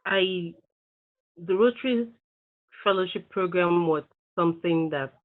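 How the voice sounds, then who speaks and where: A woman from Nigeria giving a measured, deliberate interview answer with pauses. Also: fairly low pitch. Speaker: peace fellow. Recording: tinny phone-like audio.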